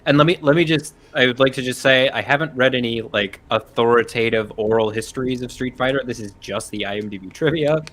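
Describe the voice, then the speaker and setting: A man's voice: mid-range voice, brisk, hardly any pauses, fairly bright tone, slight background noise. Careful, hedging man with mid-range voice. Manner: careful, hedging, self-correcting. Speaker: panelist. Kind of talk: casual chat. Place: convention panel room.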